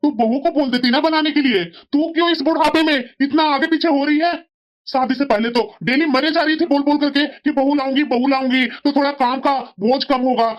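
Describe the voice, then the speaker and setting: High-voiced grumbling old husband played by a woman. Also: fairly even intonation, fairly quick, highs cut off, natural voice. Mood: grumbling, scolding. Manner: scolding, reasoning. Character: grumbling, sensible elderly husband and father-in-law. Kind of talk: Hindi family cartoon voice acting.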